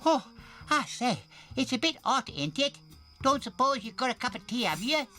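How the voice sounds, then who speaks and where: A man's voice: very high voice, sing-song, normal speed, sharp tone, pitched above the performer's own voice, full character voice. A man voicing a hearty old male elephant with a Northern English accent who sniffs and speaks bluntly, over background music. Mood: hearty folksy. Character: cheerful elderly male elephant, ex-coal-mine worker.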